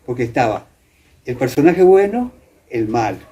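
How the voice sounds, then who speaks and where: Older Argentine male, soft-edged dark timbre, halting conversational storyteller recalling the past, slight room noise. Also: medium pitch, very animated, highs cut off. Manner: halting, repeats words and phrases. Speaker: interviewee, veteran radio drama actor. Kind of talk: casual reminiscing conversation.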